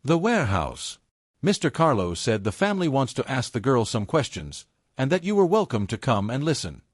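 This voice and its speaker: A man's voice: medium pitch, big pitch swings, normal speed, dry recording, studio quality. Man doing a rattled, apologetic mafia henchman. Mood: shaken, reporting.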